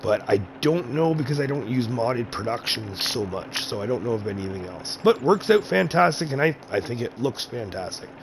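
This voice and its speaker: A man with a gravelly, mid-pitched voice walks through his game farm in a relaxed, rambling way. He often corrects himself mid-sentence and sounds a little raspy from chest congestion. A faint hiss sits under his voice. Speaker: gaming channel host. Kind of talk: casual game map tour commentary.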